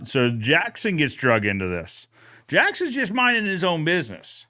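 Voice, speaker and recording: A man with a slightly high-set voice walks through a story as co-host of a recap. He talks informally, in the manner of a casual chat between colleagues. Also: sing-song, moderate pace, bright, forceful tone. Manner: informal, retells characters' dialogue.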